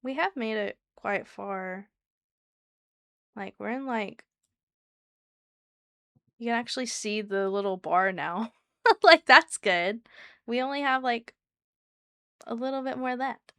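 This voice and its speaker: Soft-voiced woman streamer chatting. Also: on the high side, takes it slowly, long pauses, clean tone, dry recording, studio quality. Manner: soft, soothing voice; chatty and giggly, talks to chat by name. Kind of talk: casual live-stream chat with viewers.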